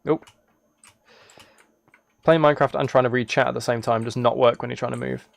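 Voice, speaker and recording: A man's voice: mid-range voice, steady tone, a little fast, creaky, no room sound. A man chatting casually on a livestream as he plays a game, rambling and thinking aloud. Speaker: live streamer. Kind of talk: casual livestream chat while gaming, reading chat.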